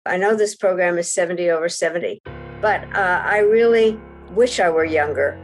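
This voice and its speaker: A woman making a spontaneous personal remark in short, halting phrases. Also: fairly low pitch, low-quality recording. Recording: guitar music behind.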